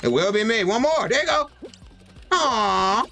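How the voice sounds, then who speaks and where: A man's voice: measured pace, gravelly. A young man casually commentating his gameplay, talking to himself in slang and yelping at the game, recorded on a desk mic with faint game music behind. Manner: excitable, yelps high and loud at the game. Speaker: gaming streamer. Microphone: desk mic with webcam.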